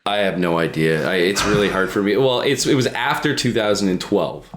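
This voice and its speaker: A man's voice: low-pitched, brisk, a little hiss or hum. A man chatting casually as a podcast co-host, with a teasing, profane sense of humor. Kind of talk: casual chat.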